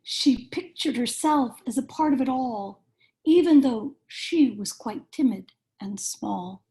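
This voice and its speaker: A woman reading a children's storybook aloud in a measured, expressive storytelling manner. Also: sits high, rough recording. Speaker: cantor reading a bedtime story.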